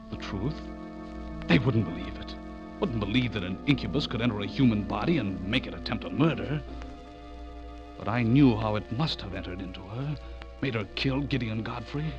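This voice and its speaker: Dramatic music runs loudly behind a muffled old radio recording of an actor as a haunted man telling his horror story. His delivery is melodramatic and unravelling, moving between hushed dread and frantic alarm in vintage radio-play fashion. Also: on the low side, lightly acted. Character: frightened, unravelling man narrating his own horror tale. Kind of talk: 1940s radio horror acting.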